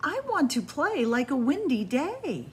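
A middle-aged woman librarian reading a picture book aloud to young children in a library, in a sing-song way with soft hushed asides. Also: high-pitched, huge pitch swings, a little slow, slight background noise. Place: library with bookshelves, indoors.

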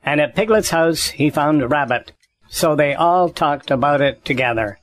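A man's voice: fairly high pitch, animated, normal speed, dry recording, lightly acted. A man playing the narrator of a children's storybook audio drama, reading scripted narration. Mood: storytelling. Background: faint music.

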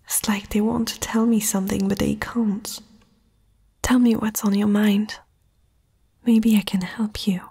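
Casting: young fantasy princess played by a woman, proud but uneasy, very breathy whisper, unhurried, intimate ASMR delivery. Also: medium pitch, flat delivery, mellow, dry recording, full character voice. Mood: tender, concerned. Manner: hesitant, slow, intimate. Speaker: ASMR roleplay performer.

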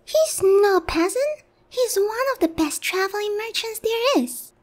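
A woman's voice: very high voice, animated, a little slow. A woman playing a naive, sheltered young harpy noblewoman in a roleplay ASMR, polite, hesitant and earnest in a girlish way. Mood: defensive, earnest.

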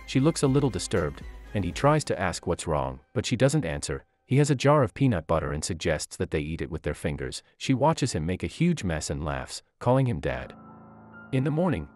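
A man narrating a movie recap in a scripted, steady storytelling manner. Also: deep voice.

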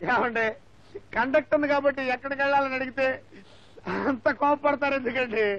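An actor playing a whining, quick-tempered, hard-drinking bus conductor in a film comedy. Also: male voice, very high-pitched, fairly even intonation. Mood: exasperated, whining. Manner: whining, loud, pleading. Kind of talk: broad film comedy acting. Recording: dull old film soundtrack, music.

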